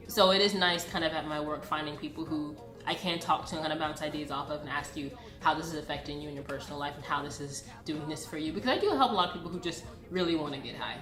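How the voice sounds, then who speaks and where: Fast-talking congested young woman vlogger. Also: sits low. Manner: chatty, informal, addresses viewers familiarly. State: congested from a cold. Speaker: YouTube vlogger. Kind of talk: casual direct-to-viewer channel update. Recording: roomy echo, background music. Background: music.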